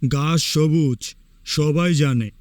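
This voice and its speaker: A man's voice: fairly high pitch, slow, darkish tone, dry recording, clean studio sound. A man playing a proud, indignant tiger in a cartoon fable, scolding with emphasis. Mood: insistent. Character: proud, indignant male tiger, wise and powerful.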